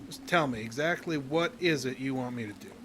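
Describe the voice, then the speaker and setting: A man's voice: medium pitch, brisk, noisy background, lightly acted. An actor playing a guarded, plain-spoken fishing guide haunted by his past, talking in a folksy rural way in an audio drama. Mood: blunt, wary. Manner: folksy, ungrammatical rural small-town talk.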